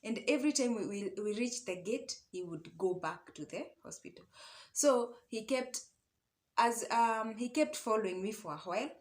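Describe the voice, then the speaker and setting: A woman's voice: a little high, animated, moderate pace, a little mellow. A young woman with a Kenyan accent telling a personal story casually and conversationally, mixing English and Swahili and often checking in with little tag questions. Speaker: online storyteller and love coach.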